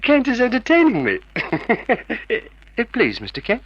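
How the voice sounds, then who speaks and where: A man's voice: very high-pitched, huge pitch swings, a little slow, slightly rough, full character voice. An actor playing a mock-courteous, sadistic male crime boss in an old-time radio drama, theatrical and giggly. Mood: gloating, amused. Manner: theatrical, giggling, mockingly polite. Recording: dull vintage radio recording.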